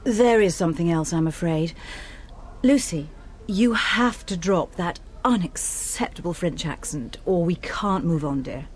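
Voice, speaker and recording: An actress playing a prim, patronising school teacher with a firm manner in a comedy drama. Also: female voice, lowish voice, unhurried, a little hiss or hum, lightly acted.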